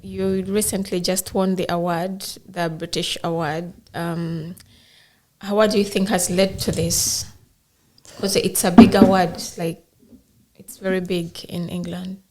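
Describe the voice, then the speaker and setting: A woman's voice: fairly low pitch, little pitch movement, unhurried, warm tone, a little hiss or hum. Polite woman hosting an interview. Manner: polite, formal welcomes and thanks. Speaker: host.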